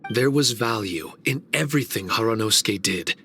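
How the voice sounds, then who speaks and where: An actor playing a solemn, wistful male shikigami servant in a game cutscene, speaking in a slow, measured, reflective way. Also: on the low side, lively intonation, bright, forceful tone, soft background music.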